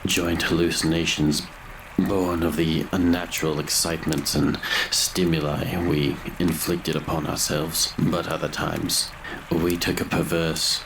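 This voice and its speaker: A man playing a haunted grave robber who narrates a gothic horror audio drama in brooding, literary, measured phrases. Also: rumbling low, gravelly, background noise, exaggerated voice. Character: haunted male grave robber narrating his grim confession.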